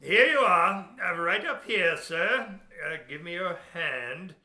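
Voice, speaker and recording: A man's voice: high voice, expressive, takes it slowly, bright, forceful tone, full character voice. A man playing a wary but courteous old-time ship's captain in an amateur audio play. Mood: courteous, guarded. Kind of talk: amateur audio-drama acting. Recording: echoey room.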